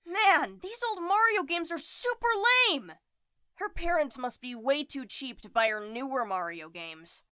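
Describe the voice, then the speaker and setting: Woman voicing a hesitant, high-pitched young cartoon boy. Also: animated, measured pace, pushed and bright, full character voice. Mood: excited, grumbling. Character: insecure, troublesome twelve-year-old boy. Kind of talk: amateur animated web series voice acting.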